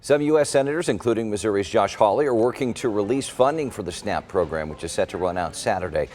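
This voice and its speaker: A man's voice: on the low side, darkish tone, a little hiss or hum. A man with an American accent reading scripted morning news as an anchor.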